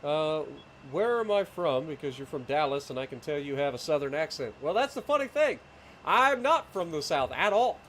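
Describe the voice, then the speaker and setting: A man from Ohio speaks with a light Appalachian twang, relaxed and chatty as he streams and answers viewers. His voice sits a little high for a man. A faint noise runs under the recording. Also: moderate pace. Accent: Appalachian (Ohio foothills), mild. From Ohio, USA. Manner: laid-back, chatty, talks to chat viewers by name. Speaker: game streamer. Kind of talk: casual live-stream chat while playing.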